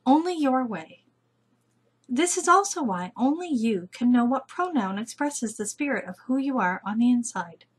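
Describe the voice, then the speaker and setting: A woman reads a children's picture book aloud. Her voice is fairly high with a husky grain, and she takes it very slowly, phrasing each line with care.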